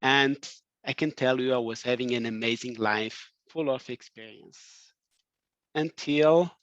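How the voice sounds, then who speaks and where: A man with a Portuguese accent, raised in France, giving a webinar talk, halting with many fillers and restarts. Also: medium pitch, steady tone, fairly bright tone, no echo. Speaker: guest speaker. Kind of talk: webinar presentation. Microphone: video call. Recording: dry, dull video call audio.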